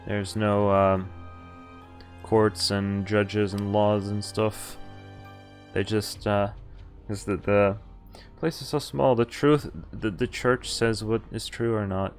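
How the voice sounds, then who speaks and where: A man's voice: lowish voice, fairly even intonation, soft background music. A man streaming a game, reading visual novel text aloud and adding casual commentary. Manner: halting asides, casual swearing.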